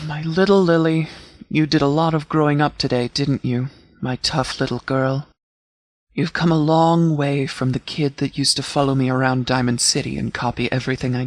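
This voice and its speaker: A man playing a stern, protective post-apocalyptic sheriff in a video game, speaking in a formal, deliberate, fatherly way. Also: a little high, dry recording, lightly acted. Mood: fatherly, reassuring. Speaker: video game mod character actor. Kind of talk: scripted game dialogue.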